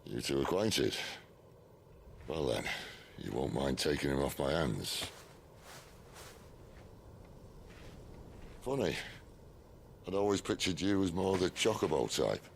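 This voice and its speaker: Game role: wry British outlaw chief, rock-bottom pitch, gravelly fry, teasing and sure of himself. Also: male voice, big pitch swings, a little slow, pauses often, slight background noise, full character voice. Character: roguish middle-aged male outlaw leader, wry and self-assured. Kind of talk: video game cutscene acting.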